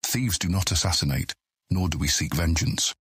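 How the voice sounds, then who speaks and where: A calm thieves' guild deputy with an extremely low, heavily rasping voice. He speaks with measured authority in a fantasy audiobook drama, recorded close and dry. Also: dry recording, exaggerated voice. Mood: stern, principled. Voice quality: deep, raspy.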